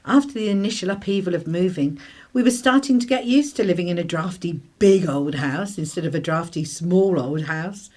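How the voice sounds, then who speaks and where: A woman playing a sharp, harried English mother of the 1950s, dryly sarcastic, in a radio play. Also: fairly low pitch, lightly acted. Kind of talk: British radio play acting and narration.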